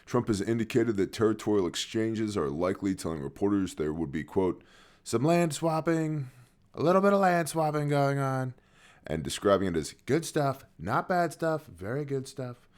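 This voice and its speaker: A man hosting a news briefing, reading items in a steady tone and cutting in with dry, sarcastic asides. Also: mid-range voice, moderate pace. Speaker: news commentary host.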